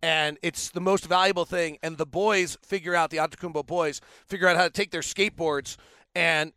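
Bright, crisp-voiced man hosting sports talk. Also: fairly high pitch, steady tone, fairly quick, dry recording. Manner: opinionated, rambling storytelling. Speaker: podcast host. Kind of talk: casual sports talk interview.

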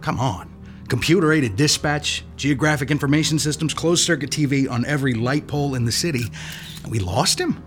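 A man's voice: a little high, brisk, lightly acted. An actor playing a skeptical male police detective who asks blunt, incredulous questions. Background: music.

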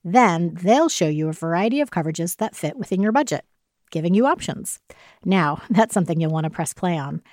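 A woman's voice: lowish voice, animated, brisk, darkish tone, dry recording, studio quality. A woman reading a scripted podcast advertisement in a conversational style, addressing listeners directly. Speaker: podcast ad reader.

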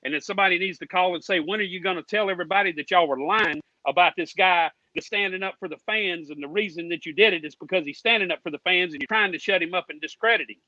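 A man's voice: high-pitched, quick pace, few pauses, bright, forceful tone, dry recording, lo-fi sound. An American man, a guest on a racing fan show, ranting in a combative, indignant, folksy way with plenty of swearing. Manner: folksy, with "ain't" and "y'all". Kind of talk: heated ranting.

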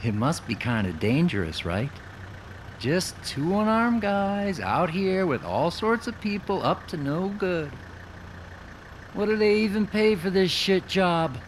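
A man's voice: high-pitched, sing-song, measured pace, slight background noise. An actor playing a shifty male drifter in an audio drama, folksy and falsely affable with a taunting menace, music and an engine behind him. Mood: menacing, taunting. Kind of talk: audio fiction acting.

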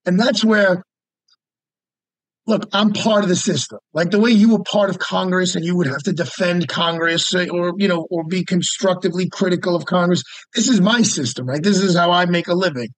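A man's voice: high voice, moderate pace, no echo, rough recording. A man, a lawyer guest talking casually and emphatically, often repeating words as he makes his point. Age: 50s. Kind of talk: casual podcast interview.

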